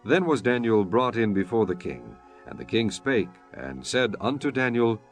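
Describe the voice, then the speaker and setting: Deep, expressive male Bible narrator. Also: moderate pace, soft background music. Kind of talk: scripted dramatic Bible narration.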